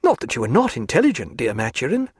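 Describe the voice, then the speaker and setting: A male audiobook narrator voicing a lively, teasing young English lady with a gushing manner. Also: fast, husky, no echo, studio quality. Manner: gushing, teasing, high-spirited.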